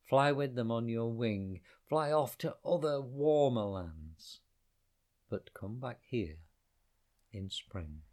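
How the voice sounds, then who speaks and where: A man voicing an old, gentle male tree in a spoken storybook poem, talking softly with a sigh. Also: medium pitch, lively intonation, takes it slowly. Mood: tender. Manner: soft, sighing.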